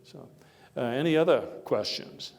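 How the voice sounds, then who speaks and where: A man playing a hearty, opinionated former American president, telling his stories to an audience in a halting, wry and emphatic way. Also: mid-range voice, darkish tone, close to an everyday voice. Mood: wry, measured. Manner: halting, storytelling, wry chuckles, emphatic bursts. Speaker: living-history performer giving a talk and taking audience questions. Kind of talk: first-person historical dramatization before an audience. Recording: echoey hall with long reverb.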